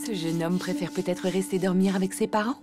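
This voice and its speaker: A woman playing a caring, gently worried elephant mother in a children's cartoon, soothing and expressive. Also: lowish voice, brisk, natural voice. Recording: music behind the voice.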